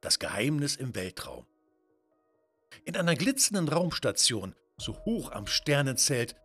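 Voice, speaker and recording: Animated male children's story narrator. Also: medium pitch, husky. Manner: expressive, theatrical.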